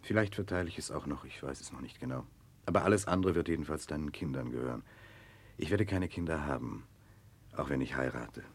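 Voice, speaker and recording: An actor playing an earnest, remorseful Russian nobleman in a radio drama, speaking in a grave, reflective, husky voice. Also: very deep voice, fairly quick, full character voice. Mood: earnest, brooding. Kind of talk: German radio play acting, part dialogue, part letter narration.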